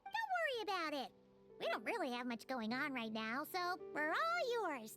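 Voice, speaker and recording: A woman voicing a tiny childlike fairy companion in an anime game, squeaky, chirpy and eager. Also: huge pitch swings, moderate pace. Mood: curious. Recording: music playing behind the voice. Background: game music.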